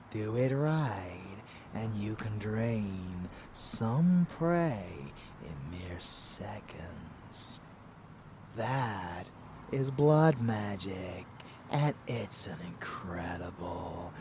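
A man playing a rebellious, murderous vampire lover in an intimate ASMR roleplay, teasing and menacing, breathy and often dropping into a whisper. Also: low-pitched, background noise, full character voice.

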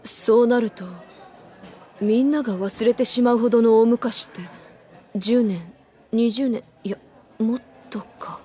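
An older schoolgirl played by a woman in an anime audio drama, sharp and level-headed. She has a fairly high voice and reasons in a plain-spoken, thoughtful way. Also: measured pace, pauses often, close to an everyday voice. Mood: reasoning.

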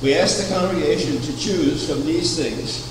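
Old guy preaching, measured storytelling, long reverb, steady hum behind. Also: on the high side. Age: older. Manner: measured, anecdotal, with rhetorical questions. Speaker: preacher, founder of a religious order. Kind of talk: sermon. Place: chapel, at a wooden pulpit. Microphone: far camera. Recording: echoey chapel from far off, air conditioning hum.

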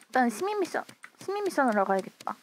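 Woman, game streamer, playful, laughs a lot, high voice, faint background noise. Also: fast. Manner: playful, laughs often, excitable. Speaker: streamer hosting a multiplayer game. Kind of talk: casual game chat with viewers and players.

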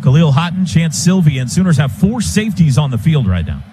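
A man's voice: a little high, sing-song, fairly quick. An American man calling football live on the radio in clipped phrases that follow the action. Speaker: radio play-by-play announcer. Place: stadium radio booth. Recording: loud stadium noise behind voice. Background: loud stadium ambience.

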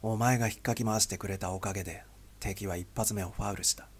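A man voicing a grim, weary spy boss who speaks tersely and heavily in a gravelly, husky voice. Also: fairly low pitch, steady tone, quick pace, voice pushed down. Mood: firm, resolved. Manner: heavy, somber, terse.

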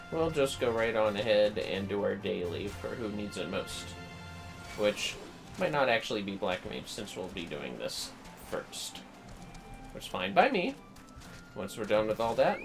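Easygoing male streamer over faint music. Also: fairly low pitch. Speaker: livestreamer. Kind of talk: casual game livestream commentary.

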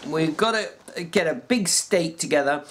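A reader doing a weathered ranch hand who talks in a folksy rural drawl, his voice pushed noticeably higher than the narration. He rambles along in plain country words with small stumbles and fillers. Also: expressive, moderate pace, slight background noise, lightly acted. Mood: wistful. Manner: raised pitch, stumbles and fillers. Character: plainspoken ranch worker man dreaming aloud. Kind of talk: audiobook reading.

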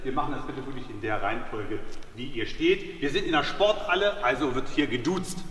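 A man moderating a round of candidate introductions in a large indoor sports hall, with brisk, joking asides. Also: mid-range voice, fairly bright tone, a little hiss or hum. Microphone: far camera. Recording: echoey sports hall from far off.

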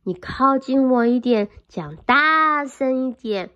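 A woman voicing a sly old male fox in a children's storybook reading, speaking slowly and loudly as he pretends not to hear. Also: sits high, lively intonation, lo-fi sound, full character voice. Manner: slow, loud, drawn-out questions.

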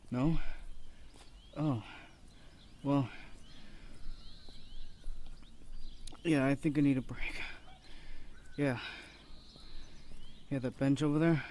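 A man's voice: medium pitch, steady tone, slow, pauses often, mellow, a little hiss or hum, close to an everyday voice. A young man playing a shy, nerdy college student in an ASMR roleplay, hesitant and flustered as he rambles nervously. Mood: flustered, breathless. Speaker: voice actor. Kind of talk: ASMR boyfriend audio roleplay. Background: birds and outdoor ambience.

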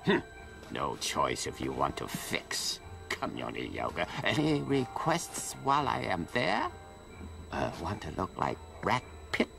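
A jolly older back-alley doctor in a game cutscene. His clipped broken English swoops up and down dramatically, slow and gravelly. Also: sits low, wildly swooping pitch, over music, heavily acted. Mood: wry, cheerful. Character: jolly older male underground surgeon, eccentric.

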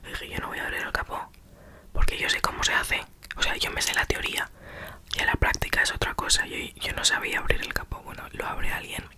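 A woman's voice: brisk, sharp tone. Whispering young woman telling a story. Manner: chatty, self-mocking, acts out quoted exchanges.

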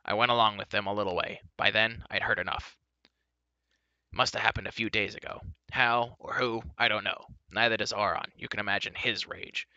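A man's voice: on the low side, steady tone, moderate pace, sharp tone, no echo, muffled recording. A man doing a snappish little fair-folk creature. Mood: irritated. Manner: snappish, blustering.

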